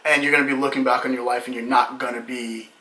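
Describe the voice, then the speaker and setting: Late twenties guy reading out viewer questions, bright tone, kinda roomy echo. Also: mid-range voice, level tone, fast. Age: 20s. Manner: joking, teasing, reads questions aloud. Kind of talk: casual couple Q&A chat.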